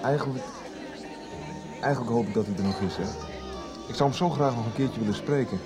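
A man's voice: medium pitch, fairly quick, natural voice. An actor as a casual, musing male friend. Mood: musing, gossipy. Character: chatty male friend having a late-night beer. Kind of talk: naturalistic radio soap acting. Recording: radio drama with music and crowd behind.

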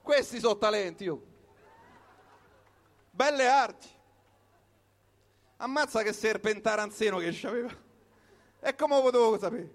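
A man with a Roman accent performing a comic storytelling monologue in short, colloquial, punchy phrases. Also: sits high. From Rome, Italy. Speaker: comic performer reciting his own piece. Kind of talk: live comic storytelling monologue built on wordplay.

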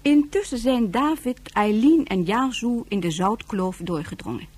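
A woman playing the narrator of a radio drama serial, recapping events in a scripted, measured storytelling manner. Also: a little high, darkish tone, a little hiss or hum, natural voice. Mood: narrating.

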